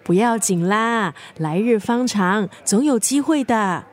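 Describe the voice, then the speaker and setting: An actress with a Singaporean accent playing a nosy, bossy best friend in a naturalistic radio drama, speaking in a playful, probing, wry way. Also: female voice, mid-range voice, lively intonation, slow pace.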